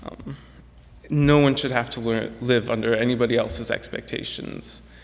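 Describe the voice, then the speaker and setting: A man's voice: mid-range voice, steady tone, normal speed. A young man, a graduating student, giving an earnest, reflective prepared speech to an audience. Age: young adult. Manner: earnest, reflective, with some restarts. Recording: echoey hall with long reverb.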